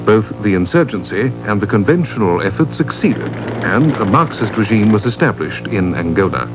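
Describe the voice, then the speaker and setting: Low-voiced South African male narrator over music. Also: animated, rough recording. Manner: formal, measured delivery. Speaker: narrator of an army training film. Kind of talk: scripted documentary narration. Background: music behind the voice.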